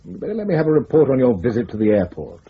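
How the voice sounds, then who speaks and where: An actor playing a measured, authoritative intelligence chief with a husky voice, speaking formally in a radio drama. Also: male voice, mid-range voice, very animated. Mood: firm, diplomatic. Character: measured, authoritative senior spymaster, calmly diplomatic superior. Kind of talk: broadcast radio drama acting. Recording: muffled radio drama recording.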